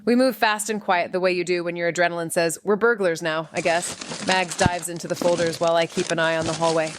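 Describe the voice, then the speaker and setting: Actress as a wry woman sleuth, lowish voice, deadpan first-person noir narration, noisy background. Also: a little fast, close to an everyday voice. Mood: urgent. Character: reckless, wry woman sleuth narrating her own story. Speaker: actor narrating a podcast drama.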